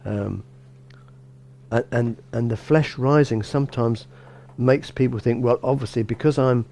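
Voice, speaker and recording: A middle-aged man, a bishop, gives a relaxed sermon in a small church, talking conversationally with frequent restarts and "you know". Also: medium pitch, a little slow. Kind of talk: informal sermon.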